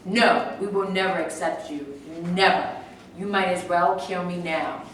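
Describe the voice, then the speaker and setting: A woman's voice: fairly low pitch, unhurried, a little hiss or hum, lightly acted. A woman reading a defiant cavewoman widow, echoing. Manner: defiant, bitter. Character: grieving, furious cavewoman widow. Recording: echoey room, mic far off.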